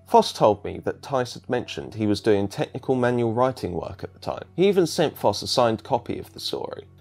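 A man's voice: fairly low pitch, darkish tone. A man narrating a scripted video essay as its presenter.

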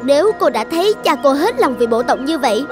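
A snippy resentful village girl for a cartoon, high voice, scolding and sarcastic, music under it. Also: big pitch swings, brisk. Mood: scornful. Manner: sharp, sarcastic, reproachful. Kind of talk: Vietnamese cartoon fairy-tale dubbing.

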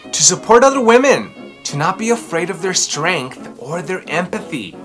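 Male storybook presenter, thirties, expressive and theatrical, lively swings in pitch, faint music behind. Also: normal speed. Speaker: children's book reviewer and host. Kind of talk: storybook read-aloud and review to camera. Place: small furnished room at home, indoors.

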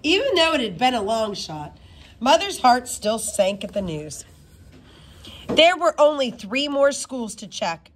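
A woman reads a novel aloud in a high voice. Her delivery is informal and expressive, with very lively swings in pitch. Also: unhurried, slight background noise. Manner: expressive, informal, stumbles over some words. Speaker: reader of a children's book.

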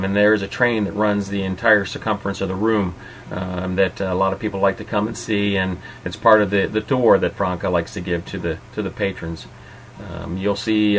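A man's voice: sits low, a little hiss or hum, poor audio. A middle-aged man hosting and narrating an informal guided tour. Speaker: host and narrator of a restaurant tour.

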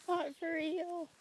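A woman's voice: very high-pitched, slight background noise. A young woman teasing her friend while she films outdoors on a phone held at arm's length. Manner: giggly, teasing. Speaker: vlogger filming. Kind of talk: casual chat. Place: outdoors by a pond in the countryside.

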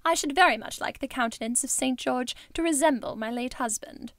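A woman's voice: sits high, a little fast, no room sound, clean studio sound. A woman playing a wealthy, imperious widow in an audio drama, with a formal, commanding manner. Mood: self-satisfied. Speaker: actor in a drama.

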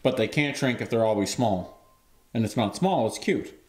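A man's voice: mid-range voice, lively intonation, moderate pace, a little mellow. Canadian man in an echoey garage. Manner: joking. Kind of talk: casual chat. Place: garage workshop, indoors. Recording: echoey garage with clattering noise.

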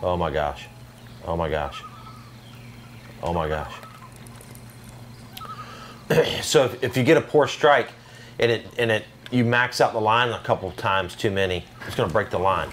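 A man's voice: on the low side, lively intonation, unhurried, pauses often, a bit bright, slight background noise. A man playing a video game and commentating casually, in an exasperated, self-deprecating, slangy way. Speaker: video game player and channel host.

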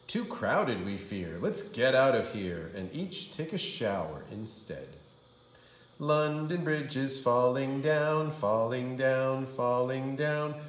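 A man's voice: mid-range voice, lively intonation, slow pace, soft background music. A man reading a children's storybook aloud like a kindergarten teacher, with theatrical, sing-song delivery. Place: indoor room. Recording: roomy indoor echo.

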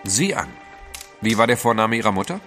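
Sceptical gentleman adventurer, audio drama, formal, haughty, animated intonation. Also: on the low side, a little fast, faint music behind. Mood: probing. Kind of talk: German audio drama acting.

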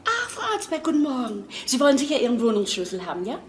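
A woman's voice: sits high, big pitch swings, quick pace. An actress playing a fussy, well-meaning neighbour woman in a radio crime drama. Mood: eager, polite. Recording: echoey, distant, faintly noisy radio drama.

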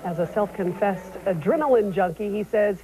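A woman's voice: mid-range voice, normal speed. An American woman anchoring TV news, reading a scripted story. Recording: noisy old TV broadcast recording.

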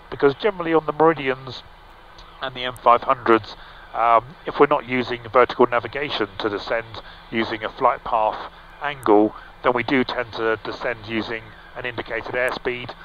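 Man explaining a cockpit over a headset mic. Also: medium pitch. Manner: relaxed, explanatory, with frequent fillers and restarts. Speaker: pilot and vlog host. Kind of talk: casual cockpit walkthrough. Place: small aircraft cockpit in flight. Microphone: headset boom mic. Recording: slightly dull, with faint engine hum.

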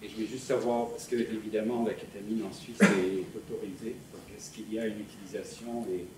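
A man from Canada asking a quick, spontaneous question from the audience in a large lecture hall. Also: fairly low pitch, fairly even intonation. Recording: echoey hall, distant, noisy.

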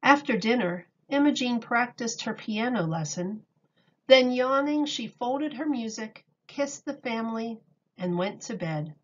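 A woman reading a picture book aloud to children like a teacher, deliberate and expressive. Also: a little high. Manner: deliberate, expressive, explains words for young children. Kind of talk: read-aloud storybook narration. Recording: dull lo-fi recording.

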